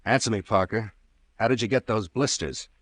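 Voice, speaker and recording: Old radio police captain, male actor: low voice, dry acoustic, stern, sardonic, relentless interrogator. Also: moderate pace, no echo, natural voice. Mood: stern, accusing. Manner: pressing, sardonic questioning. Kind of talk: old-time radio drama acting.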